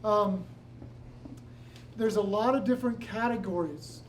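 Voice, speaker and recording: A soft-spoken woman presenting at a public meeting, explaining with many fillers and frequent "certainly.". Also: medium pitch, unhurried. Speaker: presenter answering questions. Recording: distant mic with faint room noise.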